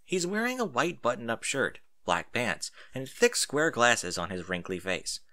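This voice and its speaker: Animated male narrator with a bright edge. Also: mid-range voice, measured pace. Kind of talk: first-person story narration, expressive.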